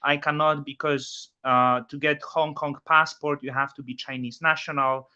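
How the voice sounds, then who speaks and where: A man with a Polish accent hosting a casual chat, speaking haltingly with frequent restarts. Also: on the high side, little pitch movement, pushed and bright, smooth. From Poland. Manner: halting, many restarts, non-native phrasing. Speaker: host. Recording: dull lo-fi audio.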